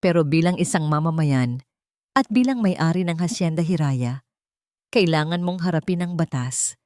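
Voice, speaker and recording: A woman's voice: low voice, lively intonation, moderate pace, no room sound, studio quality. A woman voicing a resolute, bitter elderly mother in a dramatic audio story. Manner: quiet but resolute, bitter. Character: firm, wounded elderly mother and landowner.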